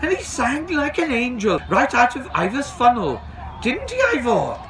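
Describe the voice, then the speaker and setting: An earnest, excitable storybook engine driver, acted by a man, with a worried and indignant manner. He pitches high and swings widely, with outdoor bustle behind him. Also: moderate pace, crowd noise, heavily acted. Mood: excited. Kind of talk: storybook reading.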